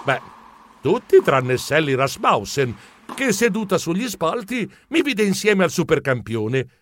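A man playing an excitable, bumbling, polite mouse journalist who tells his own adventure as theatrical children's storybook narration. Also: on the high side, a little slow, no echo, heavily acted. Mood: delighted. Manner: theatrical, expressive, comic exclamations.

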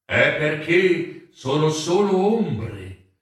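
A man voicing a gentle, mysterious childlike ghost with a soft, fluting voice that seems to come from far away, drawn through an echo effect. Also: fairly high pitch, full character voice. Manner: slow, calm, enigmatic.

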